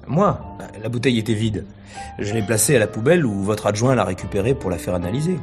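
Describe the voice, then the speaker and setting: An actor playing a cool, guarded stage actor who is politely answering questions in a radio mystery play. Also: male voice, fairly low pitch, expressive, fast talker, over music, close to an everyday voice. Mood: cooperative, guarded. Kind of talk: French radio mystery play acting in 1950s manner.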